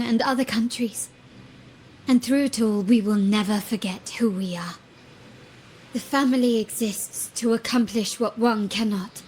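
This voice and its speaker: A woman playing a composed, calculating young crime-family heiress in a video game, speaking deliberately and eloquently. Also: a little high, darkish tone, slight background noise, close to an everyday voice, rough recording.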